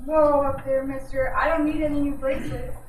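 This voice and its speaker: A woman's voice: high-pitched, little pitch movement, brisk, natural voice. A woman playing a cheeky, streetwise young paper girl in an old-time radio drama. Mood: eager, respectful. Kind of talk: 1940s radio drama acting. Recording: noisy, echoey room, distant microphone.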